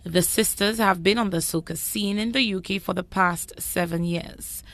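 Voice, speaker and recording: A female news reporter reading a scripted voice-over in a measured, formal way. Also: mid-range voice, a bit bright, no room sound.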